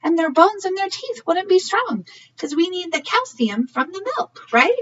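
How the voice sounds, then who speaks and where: A woman's voice: very high voice, lively intonation, normal speed, a bit bright. A woman reading a story aloud for young children in a teacherly way, often checking in with questions. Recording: slightly muffled, distant mic.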